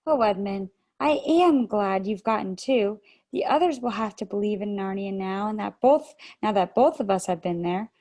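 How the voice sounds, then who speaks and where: A woman's voice: medium pitch, moderate pace, few pauses, a little mellow, natural voice. A woman reading aloud over a video call, voicing an eager young girl who gushes breathlessly. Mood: excited. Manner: breathless, gushing.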